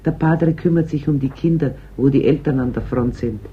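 A woman's voice: extremely low pitch, normal speed, slightly rough, full character voice. An actress playing a troubled, protective mother, guarded and proud, in a radio play. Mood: polite, worried. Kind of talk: German radio play dialogue. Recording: thin, phone-like old radio recording.

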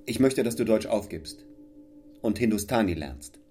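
A stern young clergyman, acted by a man in a literary radio drama. His voice sits fairly low and he speaks with cold, solemn control, pressing his will on the listener like an order. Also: lively intonation, moderate pace, dark tone, faint music behind, natural voice. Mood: cool, commanding. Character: St. John Rivers, stern, cold, domineering young clergyman missionary. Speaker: actor in a radio play.

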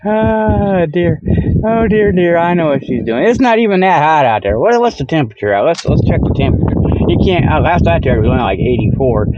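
A man's voice: a little high, expressive, fairly quick, a little mellow, highs cut off, slight background noise. A man hosting a podcast in a rambling, tongue-in-cheek monologue, with folksy, colloquial phrasing full of "okay" and "you know". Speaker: podcast host.

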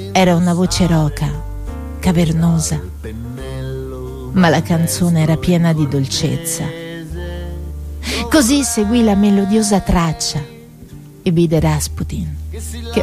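Low-pitched woman, dramatic radio storyteller. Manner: dramatic, tragicomic. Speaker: actress, narrator. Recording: music behind the voice. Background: music.

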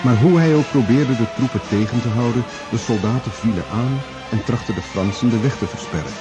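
A man narrating a radio drama in a measured, formal, literary storytelling manner. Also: mid-range voice. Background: music.

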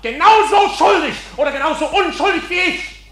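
Impassioned accused clerk, swooping intense voice. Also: male voice, very high voice, animated, moderate pace, bright, forceful tone, heavily acted. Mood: impassioned protest. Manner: impassioned, declamatory. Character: defiant, agitated accused bank officer on trial. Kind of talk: German radio drama acting.

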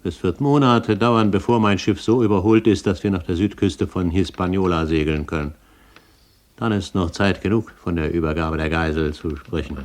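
An older, commanding pirate leader played by a male actor in a radio drama. The voice is very low, with a measured, superior and calculating delivery. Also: a little mellow, lightly acted. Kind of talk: German radio play acting.

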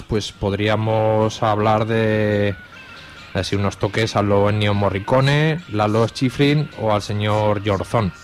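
A man from Spain with a Spanish accent hosts an informal music radio show in a casual, halting way. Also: on the low side.

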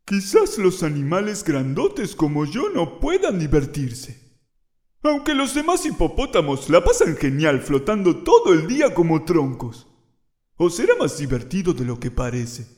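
A man as an excitable young hippo, swooping pitch. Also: heavily acted. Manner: exclamatory, wondering. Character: big young hippo, restless, doubtful then thrilled. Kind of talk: children's audio story acting.

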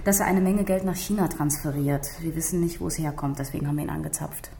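Female organised-crime investigator: slightly low voice, matter-of-fact, pragmatic, radio drama in an echoey space. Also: steady tone, fast talker, gravelly, warm tone. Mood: briefing. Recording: roomy sound with faint noise.

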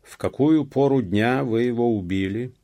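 A man playing a loud, officious police chief. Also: medium pitch, moderate pace, dry recording, studio quality, voice pushed up, natural voice. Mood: interrogating.

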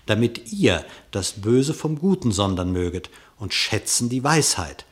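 A male narrator with a lowish voice tells a tale in verse for a radio drama. His delivery is declamatory and expressive, shaped as formal storytelling.

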